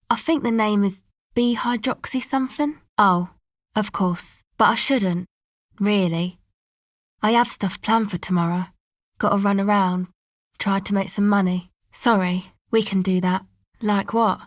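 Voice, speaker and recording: A woman's voice: mid-range voice, no room sound, studio quality, lightly acted. A woman voicing a laid-back, streetwise young woman in a video game, with a casual, slangy, offhand delivery that is blunt but sympathetic. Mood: matter-of-fact, then guarded, casual. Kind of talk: naturalistic video game dialogue.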